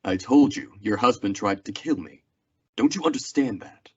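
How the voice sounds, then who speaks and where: A man's voice: fairly low pitch, expressive, normal speed, a little mellow, husky. A young man acting a weary, plainspoken Old West marshal in a radio Western drama. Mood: firm, troubled. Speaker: actor in a school radio theatre production. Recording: dull lo-fi radio-play recording.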